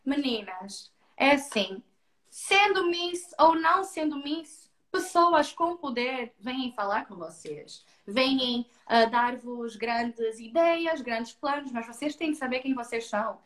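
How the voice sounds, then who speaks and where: A woman's voice: high voice, slow. Frank Angolan woman on a roomy call. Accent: Angolan Portuguese, slightly Brazilian-tinged. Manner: frank, animated, repeats words for emphasis. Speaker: guest, former beauty queen. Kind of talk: casual live video-call interview. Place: indoors, seated before a stone wall. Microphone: video call from a laptop. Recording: roomy video call audio.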